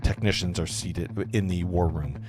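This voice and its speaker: A man's voice: sits low, normal speed. A man narrating a script's stage directions at an informal table read, stumbling over words now and then. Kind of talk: reading screenplay stage directions aloud.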